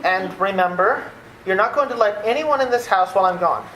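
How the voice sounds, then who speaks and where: A woman playing a harried, exasperated young nephew and theater critic, halting and repetitive, in old-time radio theater style. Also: mid-range voice, lively intonation, fairly bright tone, close to an everyday voice. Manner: halting, harried, repeating himself. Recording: echoey, faintly noisy stage recording.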